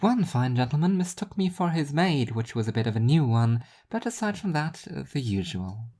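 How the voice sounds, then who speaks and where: A self-deprecating woman speaks with dry, smiling irony, played by a narrator. Her voice is pitched up, in the conversational manner of an audio story character. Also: sing-song, moderate pace, warm tone. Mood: wry. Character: Rhea, wry self-deprecating woman in city politics.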